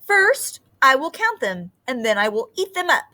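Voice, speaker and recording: A woman voicing a hungry, greedy male snake from a storybook, with deliberate, sing-song delivery. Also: high-pitched, very animated, bright, forceful tone, voice pushed up, heavily acted. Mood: greedy, gloating.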